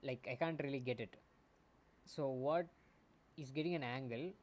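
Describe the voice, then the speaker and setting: A man streaming as a game developer, thinking aloud while he codes, often muttering and trailing off into soft asides, on a quiet home mic. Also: moderate pace. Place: home room. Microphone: desktop mic. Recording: faint background noise, low home mic.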